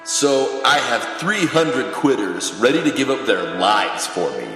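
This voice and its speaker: A man's voice: medium pitch, very animated, measured pace, bright, forceful tone, soft background music. Man playing a pompous cult star, echoing. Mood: grandiose. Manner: pompous, commanding. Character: vain, domineering male cult-star singer, grandiose and cruel. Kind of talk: theatrical audio drama dialogue. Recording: echoey, reverberant hall sound.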